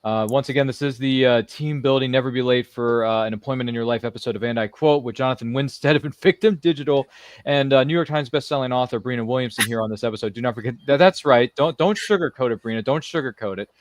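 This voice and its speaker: A man's voice: mid-range voice, fast, no room sound. A man hosting a podcast interview in a casual, jokey, rambling way, often repeating his phrases. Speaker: podcast host. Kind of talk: casual live interview chat.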